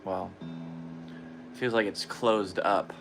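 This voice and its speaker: Hoarse, tired man speaking slowly. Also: fairly low pitch, steady tone, faint music behind. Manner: soft-spoken, halting, with long pauses and repetitions. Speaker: guitarist livestreaming practice. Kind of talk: slow reflective personal talk.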